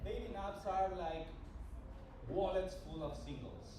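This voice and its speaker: Guy from argentina bombing at an open mic, halting and mumbly, super roomy bar sound with chatter noise. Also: sits high, big pitch swings. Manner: halting, self-deprecating delivery with muttered asides. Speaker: stand-up comedian. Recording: echoey bar from a distance, loud room noise.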